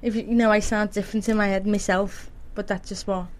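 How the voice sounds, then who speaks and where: A woman's voice: mid-range voice, level tone, a little fast. A young woman radio presenter talking casually, in a giggly, chatty way. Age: young adult. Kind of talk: casual chat. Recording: clear background noise, room ambience.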